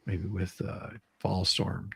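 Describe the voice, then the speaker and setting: A man's voice: low-pitched, monotone, takes it slowly, vocal fry, pushed and bright, slightly rough, dry recording. A man hosting a casual livestream, chatting in an informal, rambling way. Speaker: livestream host.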